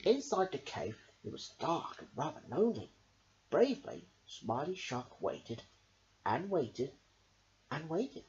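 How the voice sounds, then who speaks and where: Animated, unhurried woman storyteller. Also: low voice, muffled recording, noisy background. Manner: theatrical, deliberate emphasis. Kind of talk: animated children's picture-book reading.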